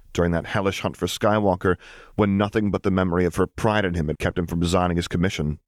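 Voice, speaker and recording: A man's voice: low voice, darkish tone, dry recording, clean studio sound. A man reading a story aloud as a scripted audiobook narration. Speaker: audiobook reader of fan fiction.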